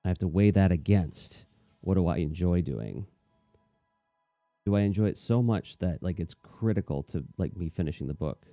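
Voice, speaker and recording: A man's voice: deep voice, animated, moderate pace, dark tone, no room sound, lo-fi sound, soft background music. A man in casual, reflective chat, talking in a thoughtful, rambling, conversational way as an artist hosting a livestream.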